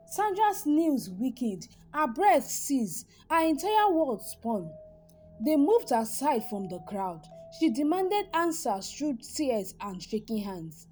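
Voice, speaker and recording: A woman storyteller reads a dramatic tale in a high, expressive voice. Her pitch moves around a lot, and she breaks lines into short, weighted phrases with pauses. Music plays behind her. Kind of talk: dramatic scripted story narration.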